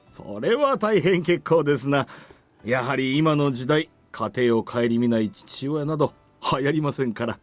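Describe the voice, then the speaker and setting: A man playing a courteous middle-aged gentleman in a Japanese visual novel, speaking formally and politely. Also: mid-range voice, expressive, a little fast, no echo, faint music behind, natural voice. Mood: polite, genial.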